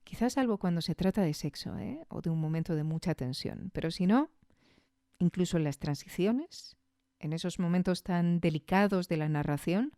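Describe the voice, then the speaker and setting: A woman's voice: on the low side, lively intonation, normal speed, dark tone, dry recording, clean studio sound. A woman with an accent from Spain hosting a podcast, giving a casual, reflective spoken review with conversational tag questions.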